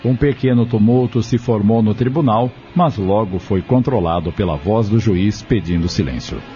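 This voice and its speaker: A man's voice: on the low side, moderate pace, warm tone, faint music behind. A man with a Brazilian accent reading scripted narration for an audio drama. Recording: dull, lo-fi audio.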